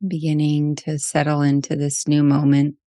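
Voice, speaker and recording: A woman leading a guided meditation, speaking in short, gentle phrases with long pauses. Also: low voice, completely flat delivery, no echo, clean studio sound.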